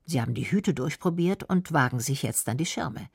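Low-voiced husky woman narrating a radio feature. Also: expressive, fairly quick, no room sound, studio quality. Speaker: documentary narrator.

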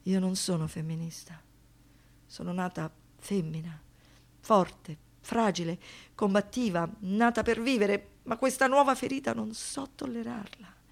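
An actress playing an anguished, defiant survivor in a theatrical radio monologue, moving between hushed murmurs and impassioned outbursts. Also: female voice, medium pitch, a little slow, creaky. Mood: bitter, broken resignation. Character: anguished, defiant woman, survivor of a brutal assault.

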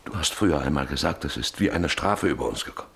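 A man acting in a German radio drama, playing a stubborn, guilt-ridden middle-aged landowner who broods haltingly and repeats his phrases. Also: a bass voice, lively intonation, gravelly, fairly bright tone, full character voice. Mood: resigned, resolute.

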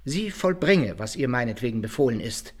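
An actor playing a proud, wary adventurer in a radio play, with measured, ceremonious, old-fashioned speech. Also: male voice, mid-range voice, big pitch swings. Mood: guarded, formal. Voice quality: gravelly, husky. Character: proud, wary male adventurer recounting his exploits. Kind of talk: radio play acting and first-person narration in archaic German.

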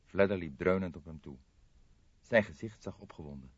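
A man's voice: deep voice, normal speed, breathy edge, dry recording, muffled recording. A man reading a detective novel aloud as scripted audiobook narration.

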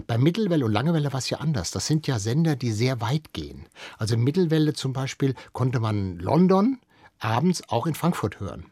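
A man's voice: medium pitch, darkish tone, slightly rough, no room sound, clean studio sound. An older man, a radio veteran, explains things conversationally as an interviewed expert, often correcting himself as he thinks aloud.

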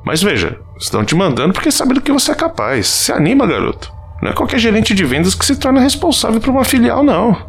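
A man's voice: extremely high pitch, very animated, fast, vocal fry, husky, heavily acted. An actor playing an older male mentor in an audio drama, proud at first and then frightened and pleading. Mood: encouraging. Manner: urgent, pleading.

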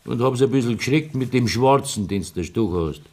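Male actor as self-righteous Bavarian ex-soldier, middle-aged; medium pitch, defensive and pious, radio play. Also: animated, fairly quick, darkish tone, natural voice. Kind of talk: dialect radio drama acting.

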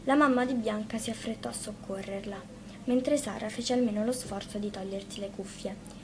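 A woman reads a fairy tale aloud as a storyteller, in an expressive scripted narration. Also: mid-range voice, moderate pace. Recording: clearly noisy live radio studio recording.

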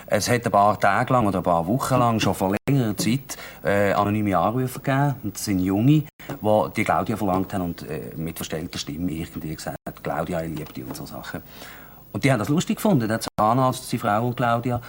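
A man with a low voice, explaining a case in an archival TV interview. He gets animated, quicker and higher, whenever he drives a point home. A faint hiss sits under the recording. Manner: animated, talks faster and higher when stressing a point.

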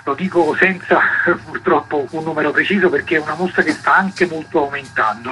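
Bright, earnest man speaking on the radio. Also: medium pitch, rough recording. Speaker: comics curator. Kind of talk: radio interview answers. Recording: radio broadcast with music.

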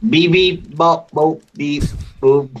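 Man putting on a slow, beeping killer robot. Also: on the high side, fairly even intonation, dull audio, crowd noise, voice pushed up. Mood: playful beeping. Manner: robotic beeps and boops, taunting declarations. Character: cheeky beeping killer robot, aggrieved and defiant.

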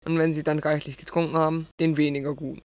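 A man playing a wedding feast master in a scripture audio drama, with measured, declarative delivery. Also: a little high, level tone, no echo, close to an everyday voice. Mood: surprised. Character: male wedding feast master addressing the bridegroom.

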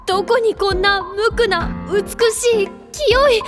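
A woman's voice: very high voice, measured pace, over music. A woman reciting as an earnest, overwrought young man in love, in an impassioned theatrical style. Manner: impassioned, declamatory.

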